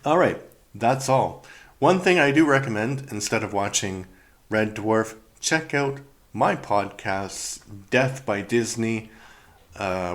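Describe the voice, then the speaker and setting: A man's voice: deep voice, a bit bright. A middle-aged man from Canada with a Canadian accent, chatting casually and rambling through a solo review podcast, often laughing and trailing off. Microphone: podcast microphone.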